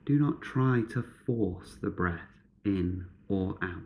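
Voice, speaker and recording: A man guiding a meditation in measured, instructive short phrases with pauses between them. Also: low-pitched, a little mellow. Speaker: meditation guide.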